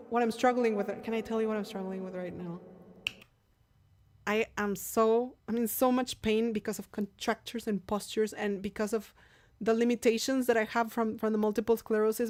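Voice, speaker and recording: A woman's voice: mid-range voice, a little mellow. A woman with a Mexican accent, a native Spanish speaker, chatting casually with viewers as the singer hosting a live stream, candid and full of restarts. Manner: chatty and candid, many restarts and repeated words.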